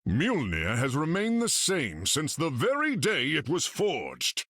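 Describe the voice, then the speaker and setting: A man's voice: a little high, huge pitch swings, slow pace, bright, forceful tone, no echo, clean studio sound, heavily acted. A man voicing a proud thunder god warrior in a video game, speaking in a grand, formal, theatrical way. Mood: proud, gruff. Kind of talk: video game character acting.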